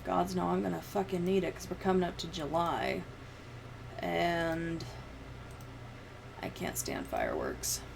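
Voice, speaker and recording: A young woman streamer talks casually in a fairly low, gravelly voice. She rambles in a loose, sweary way close to the mic. There is clear ambient noise behind her. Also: lively intonation, measured pace, long pauses. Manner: rambling, profane. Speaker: livestreamer. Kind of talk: casual livestream chat while editing.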